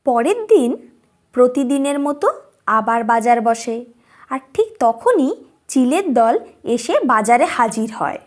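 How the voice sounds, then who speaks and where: A woman's voice: a little high, animated, a little slow. A woman narrating a scripted children's story as its storyteller.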